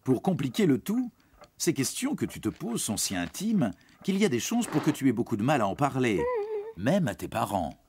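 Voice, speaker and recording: A man's voice: medium pitch, sing-song, moderate pace, a little mellow, a little hiss or hum. A man reading scripted educational narration for children, explaining things in a reassuring way and speaking directly to the young listener.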